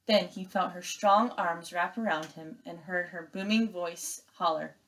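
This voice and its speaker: A woman teacher reading aloud and giving step-by-step instructions to young pupils in an expressive, emphatic way. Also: fairly low pitch, unhurried, poor audio.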